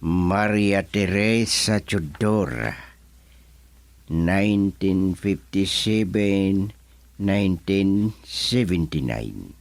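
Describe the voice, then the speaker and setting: A man's voice: deep voice, lively intonation, drawn-out words, gravelly, no room sound, full character voice. A man acting an elderly, folksy, easily flustered village chairman in an Ilocano radio comedy drama, muttering and humming with an Ilocano Filipino accent. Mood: musing. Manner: muttering to himself with hums and exclamations.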